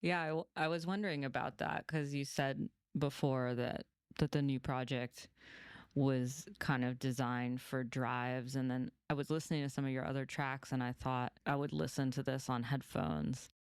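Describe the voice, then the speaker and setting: A man's voice: mid-range voice, fairly even intonation, normal speed, clear, resonant tone, dry recording, clean studio sound. A man hosting a podcast, asking a guest polite, curious questions. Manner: polite, inquisitive. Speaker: podcast host. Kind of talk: interview questions.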